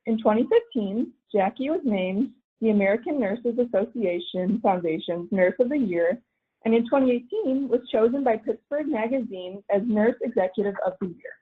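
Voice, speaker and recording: A woman's voice: a little high, expressive, normal speed. Woman moderator on a phone line. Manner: formal, reads from a script. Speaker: webinar moderator. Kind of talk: scripted announcements.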